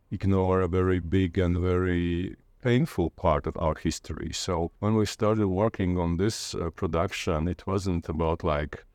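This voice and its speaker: A man with a Latvian accent, a native Latvian speaker, talking reflectively in an interview and haltingly searching for words. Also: low voice, darkish tone, dry recording. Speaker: interviewee, literature teacher and playwright.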